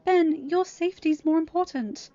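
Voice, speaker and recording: Anguished young heroine for fan audio fiction, acted by a woman: very high, pleading, self-doubting, over faint music. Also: normal speed, dry recording. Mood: anxious, resigned. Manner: pleading, soft then snapping. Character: distressed, self-doubting teenage girl, guarded and exhausted. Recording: dull lo-fi audio.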